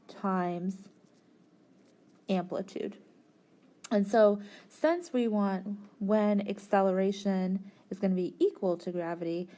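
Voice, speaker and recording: A woman's voice: mid-range voice, warm tone. A woman tutoring physics, explaining a worked problem step by step in a methodical, informal way.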